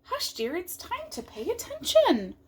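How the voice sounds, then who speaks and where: A woman acts out a female schoolteacher in a children's storybook. She is gentle but firm and polite as she instructs the class, with a high, unhurried, melodic delivery. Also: huge pitch swings, a little hiss or hum, full character voice. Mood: gently firm.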